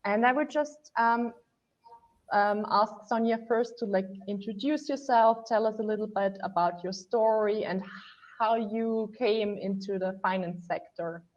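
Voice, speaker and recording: A woman's voice: mid-range voice, a little slow. Woman moderator, hesitant fillers, muffled lo-fi mic. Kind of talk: online conference Q&A.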